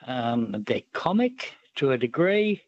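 A man's voice: mid-range voice, very animated, no echo, highs cut off. A man calling in to a radio show, hesitant and thoughtful, with many ums. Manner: halting, slow, full of ums, thoughtful. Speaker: caller. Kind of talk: phone-in chat.

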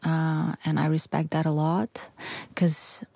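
A woman's voice: low-pitched, flat delivery, takes it slowly, vocal fry, warm tone, dry recording. A woman in her thirties from western Ukraine, speaking English with a Ukrainian accent as a musician guest, earnest and searching for words. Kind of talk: interview answers.

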